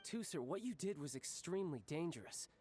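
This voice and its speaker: A man voicing a charming young man who is a doting, protective older brother, speaking smoothly and affectionately. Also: on the high side, lively intonation, a little fast, a little hiss or hum, lightly acted. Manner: smooth, affectionate, gently scolding.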